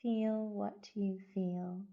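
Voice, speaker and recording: Slow, gravelly-edged woman guiding meditation. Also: medium pitch, fairly even intonation, narrow, tinny audio. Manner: soothing, unhurried, gentle instruction with long pauses. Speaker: yoga and meditation guide. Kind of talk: guided body scan meditation.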